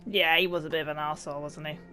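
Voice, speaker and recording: A woman's voice: sits low, steady tone, rapid-fire, pushed and bright. A young woman giving casual, sweary let's play reactions, giggly and irreverent. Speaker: gaming streamer. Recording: game music behind the voice.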